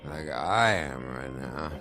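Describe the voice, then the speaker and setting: An actor playing a bitter, foul-mouthed drunk man in a video game, gravelly, sarcastic and goading. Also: very deep voice, lively intonation, slow pace, exaggerated voice. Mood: sardonic, intoxicated. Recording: music behind the voice.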